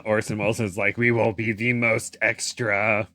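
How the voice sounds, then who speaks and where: A man's voice: lowish voice, flat delivery, moderate pace, pushed and bright, no echo. A man co-hosting a podcast, chatting casually and playfully in short reactive remarks. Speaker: podcast co-host.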